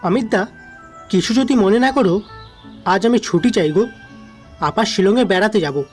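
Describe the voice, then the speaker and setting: A man's voice: high-pitched, normal speed, lightly acted. An actor playing a teasing, deferential young man in his twenties, cheeky and coaxing, in an audio drama. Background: music.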